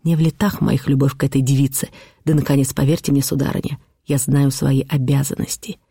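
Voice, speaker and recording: A reader playing a stern middle-aged husband who lectures and accuses in a measured, severe way, in an audiobook of a classic novel. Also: medium pitch, level tone, gravelly, warm tone, breathy edge, no echo, studio quality.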